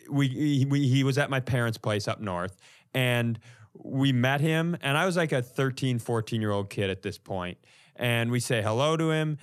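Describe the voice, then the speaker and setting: A man telling stories in a casual, comic podcast style, acting out the lines he quotes as he goes. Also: mid-range voice. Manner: animated, acts out quoted lines. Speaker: comedy podcast co-host.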